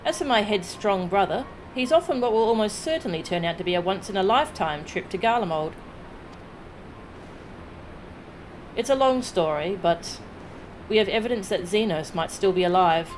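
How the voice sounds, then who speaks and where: A woman voicing an earnest, level-headed young noblewoman adventurer, reading video game dialogue in a measured, explanatory manner. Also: mid-range voice, animated, slight background noise, natural voice. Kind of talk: dramatic read-aloud of video game dialogue.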